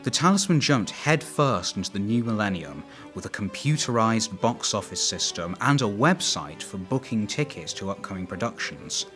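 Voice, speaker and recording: Male documentary narrator, lowish pitch, scripted read over a clear music bed. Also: expressive, normal speed, few pauses. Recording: prominent music bed behind voice.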